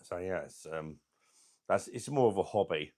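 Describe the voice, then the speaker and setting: A middle-aged man with a deep voice and a sore throat, chatting casually as he hosts a beer channel livestream and reads out viewer comments in a rambling, laid-back way. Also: expressive, moderate pace, dark tone. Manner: rambling, laid-back, chuckling between remarks. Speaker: beer review channel host.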